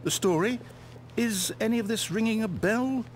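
A man's voice: sits high, normal speed, a little hiss or hum, natural voice. A man with a British accent playing a fussy, whimsical, easily exasperated storyteller in a video game, conversational and theatrical. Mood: exasperated, dismayed. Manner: conversational, self-interrupting, dramatic. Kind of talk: theatrical scripted narration.

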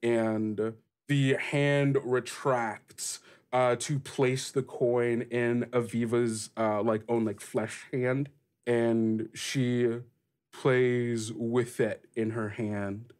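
Male game master, unhurried, mid-pitched, casual improvised narration, halting. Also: flat delivery, darkish tone.

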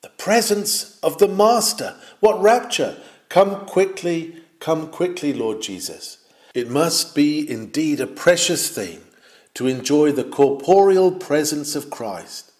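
A man's voice: fairly high pitch, lively intonation, darkish tone. Declamatory man reading a sermon, roomy. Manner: measured and declamatory, with swells of fervour. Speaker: reader of a historic sermon. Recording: roomy, echoing sound.

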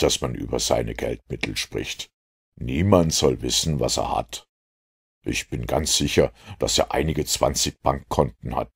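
A man voicing a self-assured master detective who lectures in a measured, superior way in an audiobook. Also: a bass voice, gravelly, husky, heavily acted. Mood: lecturing, assured. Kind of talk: audiobook character dialogue.

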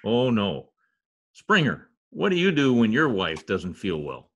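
A man's voice: fairly low pitch, huge pitch swings, measured pace, fairly bright tone, slightly rough, dull audio, exaggerated voice. An older man playing a pompous, exasperated radio star with a theatrical manner in old-time radio comedy. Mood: fretful, weary. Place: indoor home office. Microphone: computer mic on video call.

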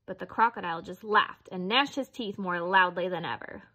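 A woman's voice: on the low side, moderate pace, bright, forceful tone. A woman reading a children's picture book aloud as narrator. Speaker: storybook reader.

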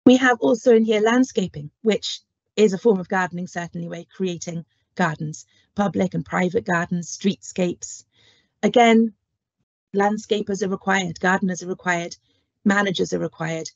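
Gravelly woman lecturer with a cold. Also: medium pitch, moderate pace, dry recording. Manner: frequent ums, friendly explanatory. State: has a cold and cough. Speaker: horticulture lecturer and curriculum lead. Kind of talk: online careers presentation. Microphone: webcam or headset on video call. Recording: dull lo-fi video call audio.

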